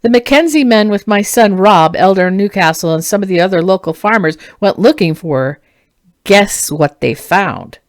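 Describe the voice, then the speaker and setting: A woman's voice: mid-range voice, expressive, moderate pace, no room sound, close to an everyday voice. A woman acts out a middle-aged farmer man in a fantasy storybook. He is flustered and urgent, a messenger with grave news. The recording is dry and close. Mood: grave, excited. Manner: breathless, urgent, solemn.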